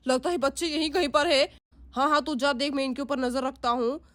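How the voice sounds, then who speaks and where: एक महिला एक बंदूकधारी पुरुष डाकू की आवाज़ निकालती है, भारी और धमकी भरे, चिढ़ाने वाले अंदाज़ में।. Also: female voice, sits high, fairly even intonation, a little fast, a bit bright, no echo. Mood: menacing. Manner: gruff, threatening, mocking. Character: menacing male dacoit kidnapper with a gun.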